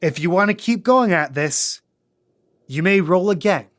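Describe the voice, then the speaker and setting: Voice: mid-range voice, animated, measured pace. A game master narrating and refereeing a tabletop role-playing podcast.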